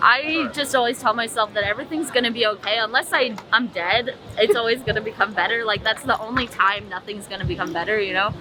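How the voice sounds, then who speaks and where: A woman's voice: fairly high pitch, fast talker, hardly any pauses, sharp tone, poor audio. A woman from New York answering in a rambling way full of fillers. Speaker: passerby. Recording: street crowd with music.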